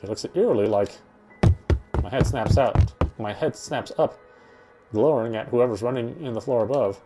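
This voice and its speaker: A man reading a horror visual novel aloud in an expressive, first-person storytelling manner. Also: mid-range voice, normal speed. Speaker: let's-player reading a game aloud. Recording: game music behind the voice. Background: game music.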